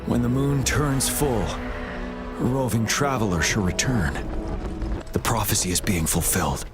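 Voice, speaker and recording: An actor playing a composed, principled army general in a game cutscene, speaking in a measured, solemn way. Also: male voice, lowish voice, close to an everyday voice. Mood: grave, watchful. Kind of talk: dramatic game cutscene acting. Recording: music behind.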